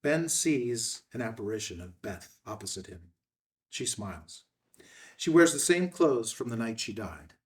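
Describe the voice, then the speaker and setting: A man's voice: fairly low pitch, sing-song, a little slow. A man reading stage directions as scripted narration at a screenplay table read. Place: indoor filmed table read. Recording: slightly distant room microphone.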